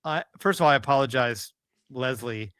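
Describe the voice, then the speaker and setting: A man's voice: mid-range voice, moderate pace, fairly bright tone, clean tone, no echo, clean studio sound. A man co-hosting a podcast in casual comedic banter, mock-earnest and often repeating his words as he goes. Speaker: podcast co-host.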